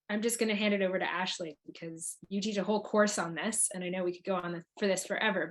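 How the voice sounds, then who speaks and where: A woman's voice: medium pitch, a bit bright. A woman moderating a panel in an informal, conversational style as she introduces and hands over.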